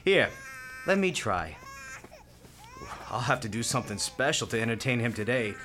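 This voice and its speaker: Kindhearted hospital volunteer, very animated pitch, folksy, playful, talkative, radio drama. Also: male voice, normal speed, slight background noise. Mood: cheerful. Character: cheerful, chatty, kindhearted male volunteer baby rocker in a hospital. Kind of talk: radio play dialogue.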